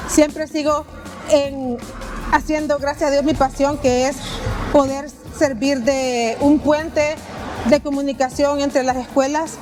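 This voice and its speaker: Earnest Salvadoran woman, high voice, over music. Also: steady tone. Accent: Salvadoran Spanish. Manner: earnest, unhurried, with many hesitations. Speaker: interviewee. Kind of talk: street interview, personal story. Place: outdoors on a city street.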